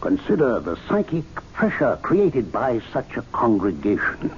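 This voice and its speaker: Animated, oratorical club gentleman. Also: medium pitch. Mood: fervent, persuasive. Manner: oratorical, deliberate rhetorical phrasing. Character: authoritative male club member, assured, didactic, persuasive theorist. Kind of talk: radio mystery drama acting. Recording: dull vintage radio recording with hiss.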